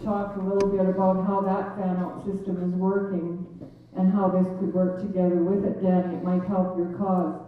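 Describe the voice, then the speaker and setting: A woman with a loud voice speaking up from the audience at a community meeting, assertive and matter-of-fact. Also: on the low side, flat delivery, normal speed, a little hiss or hum. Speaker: audience member. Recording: echoey hall from far off, phone-like.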